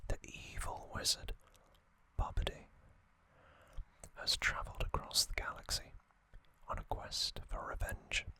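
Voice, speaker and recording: An ASMR host whispering trivia, softly repeating single words as triggers and reading from a box cover. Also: unhurried, pauses often. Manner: reads aloud from a box cover.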